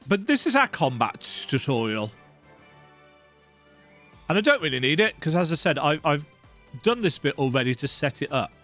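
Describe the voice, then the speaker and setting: A man's voice: fairly high pitch, big pitch swings, moderate pace, long pauses, fairly bright tone, soft background music. A man giving casual, conversational commentary while playing a video game.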